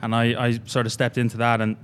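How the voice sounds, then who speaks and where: A young man with an Irish accent, a gym coach, telling his story in a halting, rambling way with many fillers in a casual interview. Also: medium pitch, completely flat delivery, slight background noise. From Ireland.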